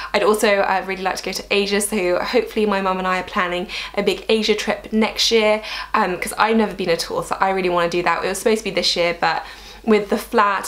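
A woman's voice: medium pitch, a bit bright. Chatty young British woman. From Wales, United Kingdom. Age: young adult. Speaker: YouTube vlogger. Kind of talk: casual chat, Q&A to camera. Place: bedroom, indoors.

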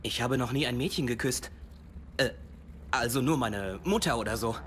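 Ein Schauspieler als naiver, eifriger junger Soldat in einer deutschen Videospiel-Synchronisation, jungenhaft und ernsthaft. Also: male voice, medium pitch, moderate pace, a bit bright, a little hiss or hum, natural voice. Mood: sheepish. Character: naive, eager young American soldier. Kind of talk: German video game dub acting.

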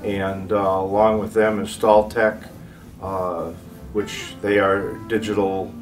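A man in his sixties explaining products off the cuff as an exhibitor, halting with frequent pauses. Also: low-pitched, little pitch movement, faint music behind. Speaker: loudspeaker exhibitor. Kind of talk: unscripted product walk-through in an interview. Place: carpeted hotel room at an audio show.

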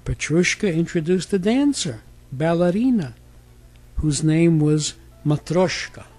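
A man's voice: fairly high pitch, lively intonation, unhurried, a little mellow, soft background music. A man reading a scripted fairy tale as a storyteller.

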